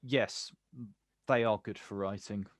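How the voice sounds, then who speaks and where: A man acting a watchful, quietly wounded poet in a naturalistic stage play, speaking deliberately in terse, repeated phrases. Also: fairly low pitch, steady tone, no room sound, clean studio sound. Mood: awkward, gentle.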